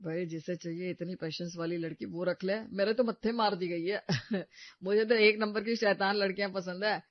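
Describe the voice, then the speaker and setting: A woman voicing a cheerful, joking young bachelor brother in an animated storybook. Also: mid-range voice, moderate pace, no echo, close to an everyday voice. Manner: joking, easygoing. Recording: dull lo-fi dry recording.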